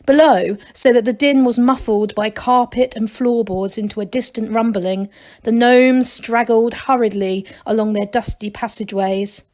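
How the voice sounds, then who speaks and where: A woman reading a children's story aloud like a teacher, in expressive narration. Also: a little high, moderate pace.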